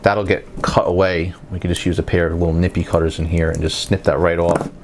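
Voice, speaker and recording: A man's voice: low-pitched, little pitch movement, fairly quick, slight background noise. A man giving a casual, hands-on repair tutorial as a technician, talking in a plain-spoken, conversational and explanatory way.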